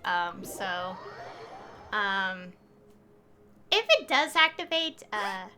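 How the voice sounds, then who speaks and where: A woman's voice: mid-range voice, expressive, very slow, bright, forceful tone, soft background music. A woman chatting casually and informally on a game livestream, rambling a little as she goes. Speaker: game streamer.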